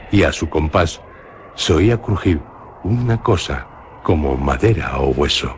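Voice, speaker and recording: A man with a Spanish accent from Spain, giving a slow, solemn dramatic reading of a horror legend for radio. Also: low-pitched, a little hiss or hum. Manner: slow, measured, solemn storytelling. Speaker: narrator. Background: music.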